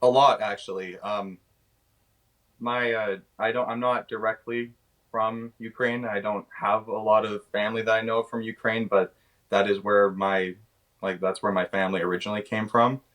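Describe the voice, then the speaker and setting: Halting young Canadian man, lowish voice. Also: flat delivery, fairly bright tone, low-quality recording. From British Columbia, Canada. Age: 20s. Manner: halting, searching for words. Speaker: actor, interview guest. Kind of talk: casual, unscripted interview answers.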